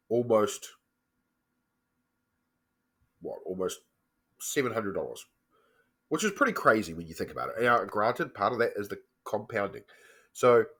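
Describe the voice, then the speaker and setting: A man with a New Zealand accent who has a cold and sounds slightly croaky, casually walking through his finance app on screen with lots of ums and self-corrections. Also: mid-range voice, fairly bright tone. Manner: chatty, loose, frequent ums and self-corrections. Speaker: personal finance vlogger. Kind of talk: casual screen-share walkthrough.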